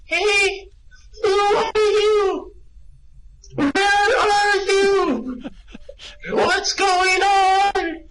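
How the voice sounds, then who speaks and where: Very high squawking, indignant penguin. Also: male voice, background noise, full character voice. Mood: indignant, alarmed. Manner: squawking, drawn-out, exclaiming. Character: excitable alien hitchhiker turned into an indignant penguin. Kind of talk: amateur radio comedy acting.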